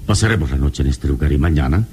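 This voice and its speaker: A wise radio-serial hero with a low, expressive voice. He is composed and reassuring, reasoning things out in measured phrases. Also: a little hiss or hum. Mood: calm, reasoning. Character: calm, wise, reassuring male adventure hero. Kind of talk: vintage radio drama acting.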